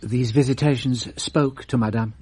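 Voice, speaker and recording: A man's voice: medium pitch, fairly even intonation, moderate pace, darkish tone, husky, no echo, close to an everyday voice. A man playing a shrewd detective in a radio drama, questioning in a probing, dryly sardonic way. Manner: probing, wry.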